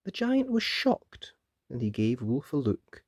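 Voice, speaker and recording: A man narrating a children's storybook in an expressive read-aloud style. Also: medium pitch, unhurried, dry recording, studio quality. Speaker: storybook narrator and channel presenter.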